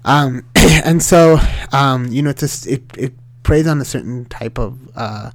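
A man's voice: mid-range voice, a little slow, no room sound. Sri Lankan-born man telling a story. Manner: animated, rambling with many fillers and restarts. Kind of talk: casual storytelling.

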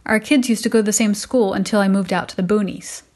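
A woman's voice: mid-range voice, fairly even intonation, fast, a little mellow. A middle-aged woman with an American accent, narrating a personal podcast as its host from a prepared script. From United States.

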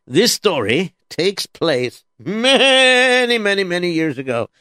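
Lively, dryly teasing male storyteller. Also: high-pitched, takes it slowly, clear, resonant tone, dry recording, clean studio sound. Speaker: storyteller host. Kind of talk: comedic children's storytelling.

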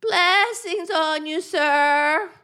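A woman's voice: very high-pitched, very slow, bright, forceful tone, full character voice. Bleating old beggar woman selling violets. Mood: pitiful, pleading. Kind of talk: 1940s radio comedy acting.

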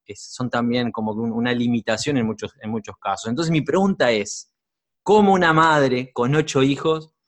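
A man's voice: medium pitch, normal speed. A man hosting an interview, asking long winding questions that he restarts and reworks as he goes. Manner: rambling, restarts questions, voseo. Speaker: host.

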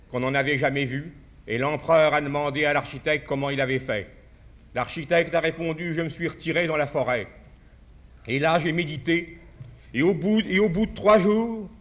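A man delivers a formal address at a writers' congress, with a somewhat high voice. He speaks in a measured way, retells a parable, and restarts phrases as he searches for words. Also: a bit bright. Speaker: conference speaker. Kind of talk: formal speech at a podium.

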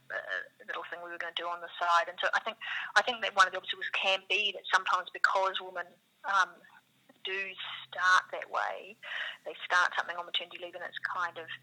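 A woman's voice: on the low side, pushed and bright, rough recording. A woman with a New Zealand accent, answering interview questions as a business co-founder in a thoughtful, circling way full of "you know".

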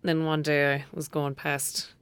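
A woman's voice: deep voice, little pitch movement, unhurried, clean tone. A woman playing a blunt, sceptical young girl with an Irish accent in an audio drama, speaking in a casual, colloquial way. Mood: wary. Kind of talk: naturalistic audio drama acting.

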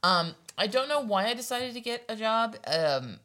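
A woman's voice: fairly high pitch, very animated, normal speed, pushed and bright. A woman hosting a podcast, telling her first-job story in a teasing, easy manner. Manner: teasing, laughs easily. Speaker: podcast host. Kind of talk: casual podcast chat.

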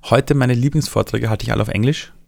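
A man from Iran with a Viennese accent, telling his story in a relaxed interview with lively, animated delivery. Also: medium pitch, quick pace, creaky, no echo, studio quality. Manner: lively storytelling, shifts voice for quotes.

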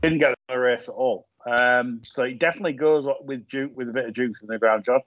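A man's voice: mid-range voice, brisk, fairly bright tone, dry recording. Casting: male podcast host, casual talky racing tipster, dry muffled line. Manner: chatty, many fillers and repeats. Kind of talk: casual tipping chat.

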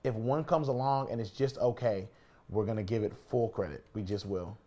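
A man's voice: lowish voice, normal speed, mellow. A man chatting casually while gaming, blunt and opinionated, often repeating himself for emphasis. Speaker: podcast guest or panelist. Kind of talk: casual chat while playing a video game.

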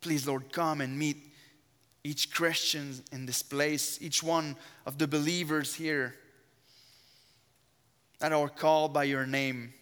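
A man preaching with a strong French accent, his first language being French. His voice is smooth and resonant, and it carries an earnest, fervent emphasis in prayer. Long church reverb rings behind every phrase. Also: fairly high pitch, little pitch movement, takes it slowly, long pauses, a bit bright. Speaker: guest preacher. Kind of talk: sermon with opening prayer. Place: church sanctuary.

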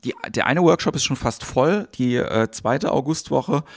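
A man's voice: medium pitch, lively intonation, moderate pace, no room sound. A man hosting a podcast, talking in a chatty way with many fillers. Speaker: podcast host. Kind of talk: podcast intro and interviewing.

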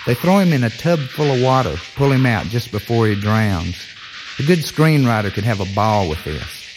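A man narrating an audio story like a film pitch, in offhand, clipped fragments. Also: animated, normal speed, over music, poor audio.

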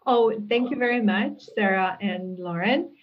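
A woman, a guest answering interview questions in a thoughtful, measured way with frequent restarts, heard over a video call. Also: mid-range voice. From Hungary. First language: Hungarian. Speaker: guest, linguistics professor. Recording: tinny phone-like call audio.

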